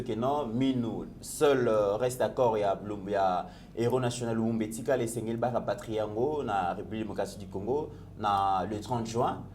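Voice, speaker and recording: A man's voice: medium pitch, a little mellow, slight background noise. A man hosting a talk show, mixing French and Lingala with hesitant fillers as he sums up a video. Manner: frequent murmured backchannels, code-switching French and Lingala. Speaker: host.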